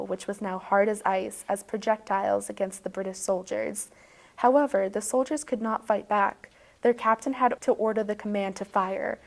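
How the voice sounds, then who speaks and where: A woman's voice: mid-range voice, vocal fry. A woman hosting a video, narrating a tongue-in-cheek bedtime story in a mock-serious, dramatic way.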